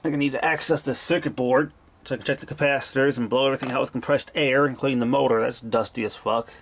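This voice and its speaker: A man's voice: mid-range voice, steady tone, fast, fairly bright tone. A man giving a casual, off-the-cuff repair narration as a hobbyist, plain-spoken and informal with some mild swearing. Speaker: hobbyist repairer of vintage tape machines. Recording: clearly noisy amateur video recording.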